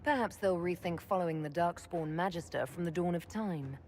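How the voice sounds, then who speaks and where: Woman as a resolute, low-pitched elven leader. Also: fairly quick, darkish tone, soft background music, natural voice. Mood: determined. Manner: plain, direct, commanding. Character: resolute female elven leader, direct and inquisitive. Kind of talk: fantasy game dialogue.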